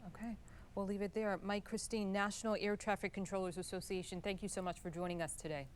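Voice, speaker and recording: A woman's voice: mid-range voice, little pitch movement, fast, slight background noise. An American woman working as a TV news anchor, reading introductions and putting questions in a broadcast interview style.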